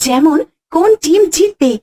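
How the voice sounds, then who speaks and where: A woman's voice: sits high, expressive, moderate pace, mellow. A woman presenting a scripted app advertisement in an upbeat, promotional manner.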